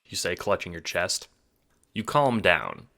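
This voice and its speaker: A man with a low, bright, punchy voice narrates a scripted audio drama. He speaks to the listener as "you", wry and sardonic, with a theatrical touch. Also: huge pitch swings, unhurried, creaky, a little hiss or hum.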